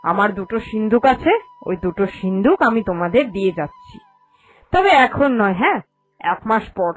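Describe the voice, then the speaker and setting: A woman's voice: mid-range voice, lively intonation, moderate pace, natural voice, low-quality recording. Woman acting a stern old mother-in-law. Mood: stern displeasure. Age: older. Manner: authoritative, accusing, formal. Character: stern, cunning elderly mother-in-law of the household. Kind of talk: cartoon storytelling dialogue. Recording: voice over background music.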